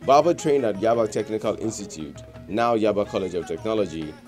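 A man's voice: fairly low pitch, lively intonation, a little fast, darkish tone. A man with a Nigerian accent reading a scripted biographical profile as a narrator. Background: music.

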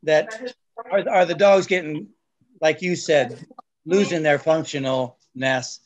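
A man talks on a video call panel with a voice that sits a little high for a man. He speaks haltingly, repeating words as he starts his thoughts over. His tone stays plain and conversational. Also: dull audio. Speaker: breeder panelist.

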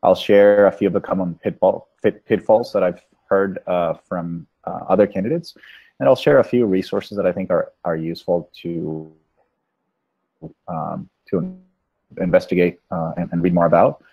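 A man, a Spanish speaker, giving an informal slide presentation as a coach in a halting, measured way over a video call. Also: sits low, fairly even intonation, gravelly, husky. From Venezuela. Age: 30s. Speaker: product management coach giving a webinar. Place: small room indoors, on a video call. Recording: dull, lo-fi webinar audio.

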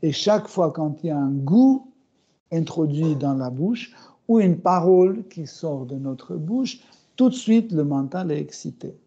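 A middle-aged man giving a scripture class in a small room, speaking slowly and hesitantly with many fillers and soft chuckles. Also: a little high, expressive, breathy edge. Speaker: spiritual teacher. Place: small room with bookshelves, indoors. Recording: dull, muffled recording.

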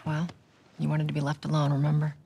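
An American actress playing a tough, cynical smuggler in a video game, speaking bluntly and dryly in a naturalistic style. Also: female voice, deep voice, completely flat delivery, fast, vocal fry, mellow, slight background noise. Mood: wry, defensive. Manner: blunt, dry, streetwise. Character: tough, cynical woman smuggler, middle-aged, wry and assertive.

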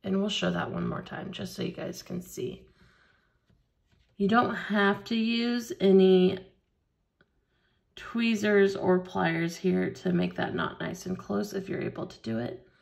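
A woman leading a hands-on beading tutorial at a craft table, explaining each step slowly and patiently. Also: medium pitch, vocal fry, darkish tone. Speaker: craft instructor.

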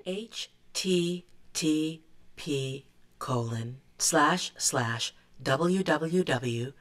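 A woman performing in a recorded sound piece, flatly reciting characters and symbols one by one. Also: deep voice, exaggerated voice. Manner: flat, deliberate recitation of characters.

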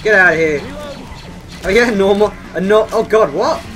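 An excitable young man giving casual commentary while playing a co-op video game with friends. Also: high voice, moderate pace. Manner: excitable, exclaiming. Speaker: gaming video host. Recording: game sound and music behind voice. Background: video game sound and music.

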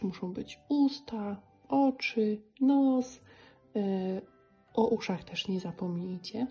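A woman's voice: mid-range voice, mellow. A woman giving a casual, somewhat hesitant craft tutorial for children in voice-over. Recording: slightly dull, music behind.

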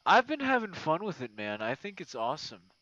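A man chatting casually in a late-night ASMR style, rambling and halting in an informal way. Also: fairly high pitch, wildly swooping pitch, bright, forceful tone, dry recording. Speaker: ASMR roleplay creator. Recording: dull, muffled lo-fi audio.

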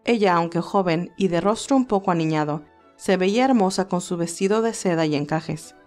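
Woman, low voice, story narration, classical music behind. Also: brisk, darkish tone.

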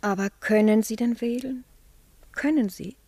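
A timid, lovelorn spinster past her youth, in a radio drama. Her voice is fairly high and breathy in a dry, close recording, halting and doubling back on words. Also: mellow, dry recording. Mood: fearful. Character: timid, nervous, lovelorn aging spinster niece. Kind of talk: German radio play acting.

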